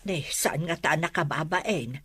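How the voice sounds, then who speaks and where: A woman's voice: low voice, measured pace, a bit bright, breathy edge, no echo, exaggerated voice. An actress playing a bashful, reluctant middle-aged mother in a radio drama.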